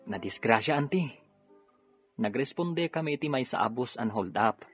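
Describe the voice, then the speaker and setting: Husky young Ilocano policeman played by an actor. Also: medium pitch, lively intonation, normal speed, a bit bright, no echo, faint music behind. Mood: earnest. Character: dutiful, reassuring young policeman, devoted son. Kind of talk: Ilocano radio-style drama acting. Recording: dull lo-fi drama recording.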